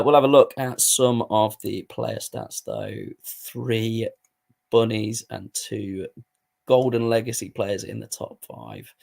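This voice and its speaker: Man, hoarse, gravelly, unhurried, muttering asides, swearing casually. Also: mid-range voice. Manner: swears freely, muttering asides while playing. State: hoarse, voice going. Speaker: host commentating a football management game. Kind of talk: casual live gaming commentary.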